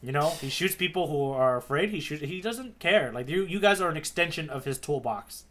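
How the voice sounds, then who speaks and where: A man's voice: on the high side, lively intonation, a little fast, fairly bright tone. A man co-hosting a podcast, talking casually about manga. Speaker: podcast co-host.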